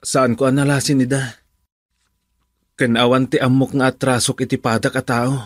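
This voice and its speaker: A man acts out a humble young working man in a radio drama, speaking at a medium pitch with an Ilocano Filipino accent. He is plain-spoken and deferential, loyal in temper, and recorded very dry. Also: flat delivery, normal speed, no echo, lightly acted. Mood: earnest, reassuring.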